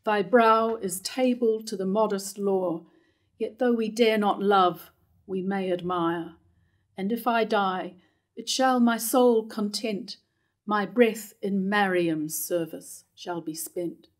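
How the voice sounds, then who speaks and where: A woman's voice: medium pitch, slow, close to an everyday voice. A woman in her fifties reading the part of a doomed, devoted male counsellor in a staged verse tragedy. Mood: resigned devotion. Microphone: lectern microphone.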